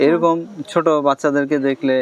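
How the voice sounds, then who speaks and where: Fast-talking Bangladeshi male interviewer. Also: on the high side, fairly even intonation, dull audio. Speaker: interviewer, host. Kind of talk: formal show introduction and interview questions.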